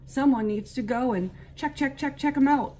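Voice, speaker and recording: A woman voicing an excitable squirrel who chatters and repeats her words in a very high voice. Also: moderate pace, a little hiss or hum, close to an everyday voice. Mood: excited.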